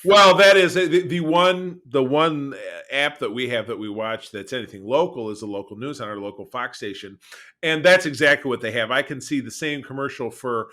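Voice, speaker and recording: A man co-hosting a podcast in casual, opinionated chat, animated and emphatic, often repeating his phrases. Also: medium pitch, moderate pace. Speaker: podcast co-host.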